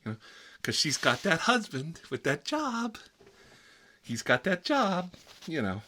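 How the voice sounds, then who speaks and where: A man's voice: fairly high pitch, huge pitch swings, a little slow, pushed and bright, slight background noise, heavily acted. A man playing a whiny, foul-mouthed grown son who lives with his mother, complaining and rambling in a comedy sketch. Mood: grumbling. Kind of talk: improvised comedy roleplay sketch.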